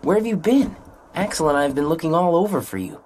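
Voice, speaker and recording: Low, husky, earnest teenage boy. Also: expressive, quick pace, darkish tone. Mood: pleading. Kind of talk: video game voice acting.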